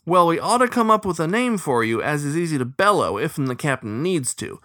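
A man voicing a cheerful, loud male beaver deckhand with a grinning, bellowing manner. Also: fairly high pitch, very animated, fairly quick, no echo, studio quality, pitched above the performer's own voice.

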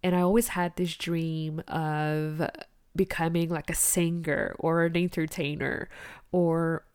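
Slow, smooth-voiced woman podcast host. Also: low-pitched, little pitch movement, darkish tone, no echo, studio quality. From Quebec, Canada. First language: French. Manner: upbeat, motivational, candid. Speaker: podcast host, business coach. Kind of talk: casual solo podcast monologue.

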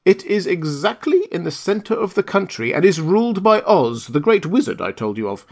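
A gentle, motherly little old witch from a storybook, as a male reader puts her on. Her voice sits high above the reader's own and swings widely in pitch. She speaks solemnly and kindly. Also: sing-song, normal speed, exaggerated voice. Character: kind little old female good witch.

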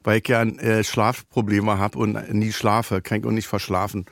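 A man's voice: fairly low pitch, flat delivery, normal speed, gravelly, no room sound, clean studio sound. A man hosting a podcast, rambling and joking with many self-interruptions while nursing a cold. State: has a cold. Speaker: podcast host. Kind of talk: casual rambling chat.

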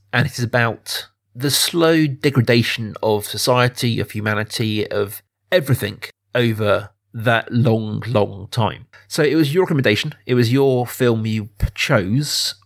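Male podcast host, mid pitch, conversational film talk, searches for words and rephrases. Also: unhurried.

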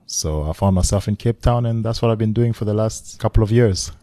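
A man in his forties, born in the Congo, talks as a podcast guest over a remote line. His voice sits very low, and the sound is dry and close. He tells his story reflectively and laughs softly now and then. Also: brisk, no echo. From Democratic Republic of the Congo. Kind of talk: casual remote interview. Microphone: remote call.

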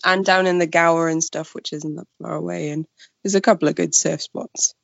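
A young woman from Wales with a creaky voice, chatting casually as an interview guest. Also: lowish voice, fairly even intonation, a little fast, dry recording, highs cut off. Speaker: podcast guest, freelance journalist.

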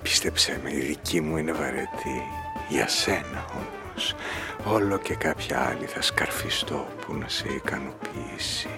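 An actor playing a smooth wealthy married businessman in a radio drama, talking unhurriedly and insinuatingly, often dropping to a breathy murmur. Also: very deep voice, wildly swooping pitch, over music, exaggerated voice. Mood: suave, seductive. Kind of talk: Greek podcast radio play acting.

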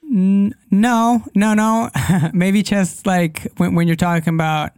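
A man's voice: sits high, fairly even intonation, takes it slowly, a little mellow, clean tone, no room sound, studio quality. A young man whose first language is Spanish, chatting as an interview guest and often hesitating as he searches for English words. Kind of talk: casual interview chat.

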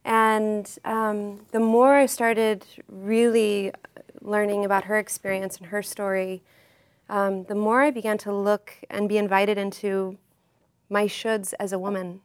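A woman's voice: medium pitch, little pitch movement, unhurried, smooth. A woman author and artist, a podcast guest speaking in a reflective, earnest way. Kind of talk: podcast interview.

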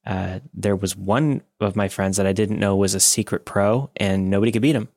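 Chatty, low-voiced man swapping casual stories. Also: normal speed, creaky, a little mellow, dry recording, clean studio sound. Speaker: podcast co-host. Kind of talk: casual banter and personal anecdotes.

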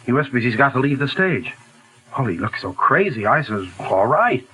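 An actor playing a blunt, gossipy band leader in a 1940s radio drama. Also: male voice, mid-range voice, very animated, normal speed, a bit bright, husky, poor audio. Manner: blunt, tattling.

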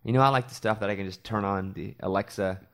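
A man's voice: fairly low pitch, quick pace, fairly bright tone. A man from Arkansas, a morning show host, chatting casually on a podcast and telling rambling stories with self-deprecating asides.

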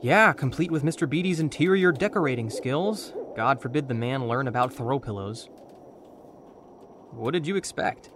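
A man's voice: mid-range voice, expressive, normal speed, a bit bright, a little hiss or hum, natural voice. An actor playing a wry, protective young man in an audio drama, speaking in a sardonic, natural way. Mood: sarcastic.

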